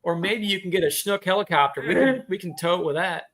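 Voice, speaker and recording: Man, 40s, American, slightly high voice, easygoing joking panelist in casual group conversation. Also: fast talker, fairly bright tone. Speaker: panelist on a beekeeping live chat.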